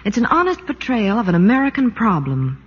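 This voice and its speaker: A woman delivers scripted promotional chat as a 1940s radio reporter, gushing with enthusiasm. Her husky voice is very animated, on a muffled old broadcast. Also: on the high side, fairly quick, big-room sound. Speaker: Hollywood reporter.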